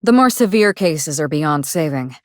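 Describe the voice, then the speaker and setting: A low, closely recorded, dry-sounding voice for a video game: a proud actress in mourning. She speaks in measured, formal phrases, wistful yet wry, with a quietly ruthless streak. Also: expressive, no room sound. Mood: dry, confident. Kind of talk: video game dialogue acting.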